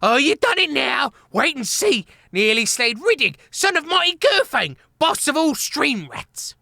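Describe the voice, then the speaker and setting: Casting: spiteful young rat villain, very high, shrill and jeering. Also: male voice, sing-song, normal speed, pushed and bright, no echo, studio quality, heavily acted. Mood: furious. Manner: shrill mocking, whining threats. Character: spiteful young male water rat, taunting.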